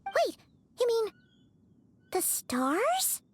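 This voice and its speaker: A woman voicing a small, naive, excitable fairy companion in a video game, squeaky and childlike, over background music. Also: extremely slow, slight background noise, full character voice. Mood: puzzled, earnest. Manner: exclaiming, hesitant questioning, refers to herself by name. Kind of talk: video game character voice acting.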